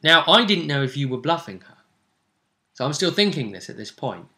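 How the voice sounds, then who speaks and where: Bright-voiced man recapping a game. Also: on the high side, sing-song, fairly quick. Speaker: role-play session host. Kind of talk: casual recap of a role-play game.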